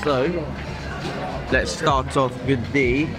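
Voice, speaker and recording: A young man talks to camera in a relaxed, easygoing manner, with soft fillers and a mid-range voice. He is filmed up close on a handheld camera in a busy indoor mall restaurant. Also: mid-range voice, slow, loud music behind the voice. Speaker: food vlogger. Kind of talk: casual vlog chat to camera.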